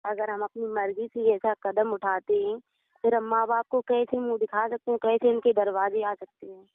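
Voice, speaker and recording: A woman's voice: fairly high pitch, lively intonation, normal speed, no echo. A woman speaking earnestly on the phone in a deferential, pleading manner, readily agreeing with the other person. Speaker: religious storyteller. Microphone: phone call. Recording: narrow tinny phone call recording.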